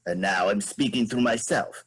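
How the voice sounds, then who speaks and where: Man playing an imperious, husky moose monarch. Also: fairly low pitch, normal speed. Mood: irritated. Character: imperious, irritable moose queen, scheming and persuasive. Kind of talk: staged play reading.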